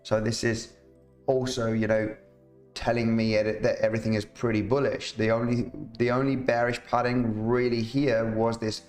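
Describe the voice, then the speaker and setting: Man, slightly rough voice, chatty live market streamer, roomy echo, faint music underneath. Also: fairly low pitch. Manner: chatty, rambling, fillers and restarts, reads viewer comments. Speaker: crypto market analyst streamer. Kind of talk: live chart analysis, casual.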